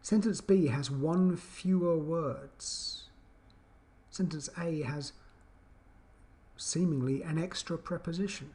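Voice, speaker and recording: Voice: medium pitch, slow, pauses often, mellow. An English teacher giving an explanatory video lesson with an emphatic, questioning, teacherly delivery.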